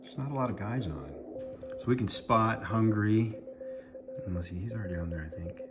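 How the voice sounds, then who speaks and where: A man's voice: lowish voice, lively intonation, brisk, over music. An American man giving a casual running commentary as he operates a radio, thinking aloud and muttering to himself. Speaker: amateur radio operator and vlogger.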